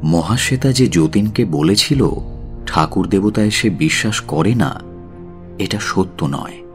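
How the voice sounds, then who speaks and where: A man's voice: lowish voice, unhurried, faint music behind. A man narrating a literary audio story in a dramatic, scripted style.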